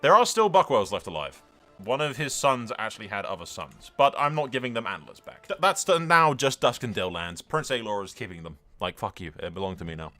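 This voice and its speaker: A man's voice: on the low side, very animated, fairly quick, bright, forceful tone, soft background music. A man doing casual let's-play commentary, sweary and blunt, slipping between exclamations and in-character roleplay narration. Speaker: gaming video host.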